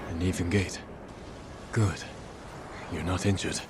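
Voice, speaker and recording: An actor playing a stoic samurai in a video game, grave, terse and measured. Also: male voice, low-pitched, fairly even intonation, music in the background. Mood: tender, concerned. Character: stoic, solemn samurai warrior, devoted to his horse. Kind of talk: video game cutscene acting.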